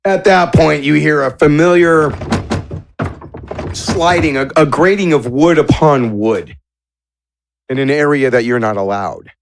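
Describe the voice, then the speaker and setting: A man's voice: a little high, a little slow, vocal fry, pauses often, noisy background. A man narrating a tabletop roleplaying game as game master in a casual, playful, improvised way.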